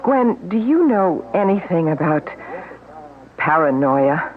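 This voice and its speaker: An actress playing a frightened woman who confides her fears in a radio mystery drama, speaking tensely and quietly. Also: on the low side, sing-song, slow pace, a little hiss or hum. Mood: fearful. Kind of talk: 1970s radio drama acting. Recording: tinny old radio broadcast recording.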